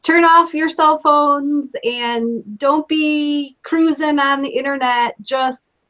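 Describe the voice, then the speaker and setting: Brief female co-host, high-pitched, upbeat hype, muffled call sound. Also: fairly even intonation, takes it slowly, bright, forceful tone. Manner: upbeat, hyping the guest. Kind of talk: casual webinar hosting. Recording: muffled lo-fi audio from a distance.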